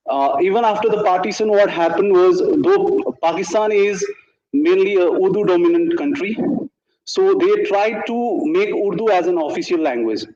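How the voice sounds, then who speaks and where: A man, Nepali by mother tongue, addresses an audience in a formal, measured way, pausing with fillers and clearing his throat. His voice is warm and mellow, set a little high for a man. The recording is dull and sounds roomy, with the echo of a hall. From India. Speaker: invited speaker at a school event. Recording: dull echoey room recording.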